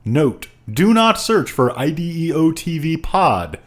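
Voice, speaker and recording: A man's voice: fairly high pitch, big pitch swings, measured pace. A man co-hosting a podcast in casual banter, with a wry, mock-grandiose way of making announcements. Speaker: podcast co-host.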